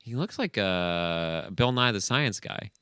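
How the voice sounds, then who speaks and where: A man co-hosting a podcast in casual, analytical movie chat, halting as he thinks aloud. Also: sits low, animated, bright, forceful tone, clear, resonant tone, no room sound, clean studio sound. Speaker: podcast co-host.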